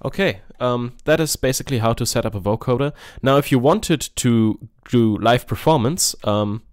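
A man hosting a software tutorial in a casual, offhand, informal way. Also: medium pitch, moderate pace, no echo, clean studio sound. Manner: informal, offhand, mildly sweary. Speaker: music software tutorial host. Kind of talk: casual screen-recorded tutorial.

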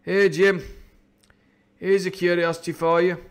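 A man voicing a swaggering, sardonic pirate sea cook in a storybook reading, with blustering, commanding talk and rough sailor's speech. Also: high voice, level tone, a little slow, voice pushed up. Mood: derisive, sly.

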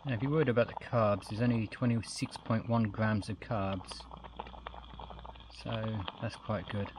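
Relaxed older man cooking outdoors. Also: fairly low pitch, unhurried, background noise. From Australia. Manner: chatty and relaxed, talks while eating. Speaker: outdoor vlogger. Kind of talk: casual camp-cooking review. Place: outdoors in bushland at a picnic table. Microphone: camera across the table.

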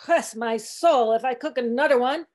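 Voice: high-pitched, animated, a little slow, darkish tone. A woman voicing a grumbling, weary ninety-year-old male ship's cook in an audiobook, sullen and testy, speaking in dialect. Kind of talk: literary audiobook character reading.

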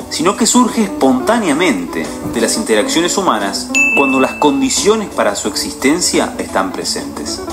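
A man in his forties giving a scripted explainer narration, posing questions and walking through ideas with an animated, questioning delivery. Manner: animated, questioning delivery with wide pitch swings. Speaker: video essay presenter. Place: indoor studio with painted wall. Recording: music bed behind the voice.

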